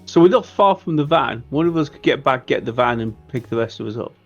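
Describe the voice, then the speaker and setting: A man's voice: medium pitch, lively intonation, quick pace, lo-fi sound, soft background music. A man playing a tabletop game, talking through plans casually in an assertive, wry way. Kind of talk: casual game planning chat.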